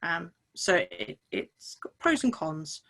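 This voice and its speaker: A woman's voice: lowish voice, sing-song, slow, creaky, a bit bright. A woman hosting an online webinar, wry and informal, with self-deprecating remarks. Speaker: webinar host. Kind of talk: online teaching chat. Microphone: video call.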